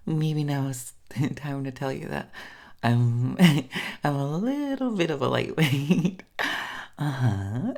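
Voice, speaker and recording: A woman's voice: low voice, darkish tone, exaggerated voice. A woman acting a shy, smitten, tipsy date in an intimate roleplay audio, stammering and giggling nervously as she fumbles her words. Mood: tipsy, bashful.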